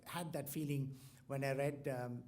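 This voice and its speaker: A man's voice: medium pitch, fairly even intonation, unhurried, a little mellow, a little hiss or hum. Hesitant Indian man introducing a speaker. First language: Tamil. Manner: hesitant, full of ums and restarts. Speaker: university host introducing a lecturer. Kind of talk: formal speech with many fillers.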